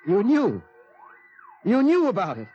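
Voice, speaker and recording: An actor playing a worried, bewildered middle-aged father in a 1950s radio drama, halting and incredulous. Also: high-pitched, huge pitch swings, muffled recording, over music, full character voice. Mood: stunned. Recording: vintage 1950s radio broadcast recording.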